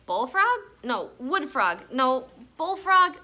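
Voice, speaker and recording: An earnest little brother from a children's storybook, acted out by a woman. The voice is high and lively, plaintive and excitable, with a bright edge. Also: slow pace, heavily acted. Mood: excitable, protesting. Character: young boy, earnest, protesting little brother. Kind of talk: children's storybook read aloud.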